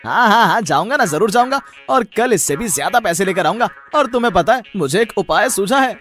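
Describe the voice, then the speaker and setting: A man's voice: high voice, expressive, fairly quick, a bit bright, soft background music. A man playing a greedy, irritable, boastful onion seller in a cartoon story, speaking emphatically and repeating himself. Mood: gleeful, sly. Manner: exaggerated, emphatic, repeats words. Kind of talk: animated moral story acting.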